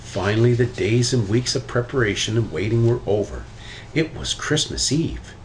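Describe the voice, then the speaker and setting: A man reading a children's story aloud with expressive, animated delivery. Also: medium pitch, moderate pace, slight background noise, low-quality recording. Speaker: storybook reader.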